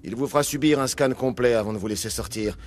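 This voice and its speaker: A man's voice: mid-range voice, fast talker, close to an everyday voice. Blunt, sardonic male security captain played by an actor. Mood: blunt, reluctant. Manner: blunt, dry, colloquial. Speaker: guard captain. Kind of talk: video game dialogue.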